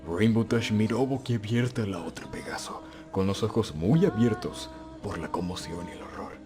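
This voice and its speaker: A man's voice: on the low side, normal speed, music in the background. A man giving a scripted, dramatic narration of a story.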